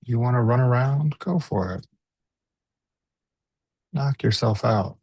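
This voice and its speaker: A man leading a guided body scan meditation as a teacher, speaking gently and unhurriedly with pauses. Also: mid-range voice, breathy edge, no echo.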